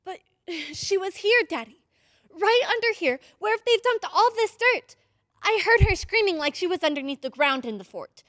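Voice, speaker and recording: Woman as excitable young girl, very high, lively swooping pitch, insistent, old-time radio drama. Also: normal speed, no echo, clean studio sound. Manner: pleading, urgent, dramatic.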